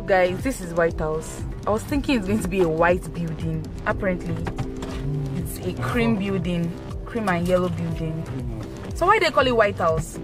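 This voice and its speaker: A woman's voice: lowish voice, lively intonation, moderate pace, music in the background. A young Nigerian woman with a Nigerian accent, chatting playfully on a phone camera held at arm's length in a car and a restaurant. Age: young adult. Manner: playful, chatty, laughs often. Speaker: food vlogger. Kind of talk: casual vlog chat. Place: inside a car, then a busy restaurant.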